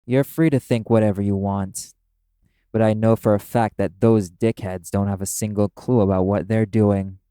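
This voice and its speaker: A brash male demon acted by a man in an audio roleplay. The voice sits low, with a mellow, dark tone. He is cynical and scornful, swearing bluntly at whoever he addresses. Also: steady tone, normal speed, clear, resonant tone, dry recording, lightly acted. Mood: cynical, dismissive.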